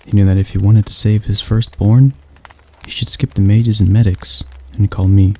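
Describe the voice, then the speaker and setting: A gentle male demon tutor, voiced by a man in an ASMR roleplay, his tone dark and mellow. He talks softly and slowly, reassuring throughout, with a slight stutter. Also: on the low side, level tone. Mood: soothing. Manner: soft, slow, soothing delivery.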